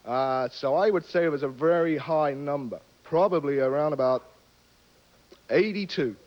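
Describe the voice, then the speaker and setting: A man's voice: fairly high pitch, normal speed. A man from England, a game show contestant chatting hesitantly with the host. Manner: hesitant, chatty, with fillers and little laughs. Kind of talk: casual on-air chat. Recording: 1970s TV broadcast, dull sound, faint background noise.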